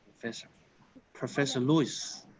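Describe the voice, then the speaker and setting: A middle-aged man from China, chatting in halting English with his online tai chi class over a video call. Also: mid-range voice, fairly even intonation, a little mellow, a little hiss or hum. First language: Chinese. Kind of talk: casual chat with online class. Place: martial arts hall. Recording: slightly dull video call audio.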